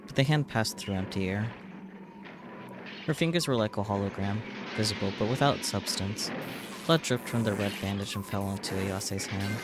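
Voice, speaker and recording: A man's voice: lowish voice, moderate pace, over loud music. A man reading a visual novel aloud as dramatic narration. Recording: clear background noise.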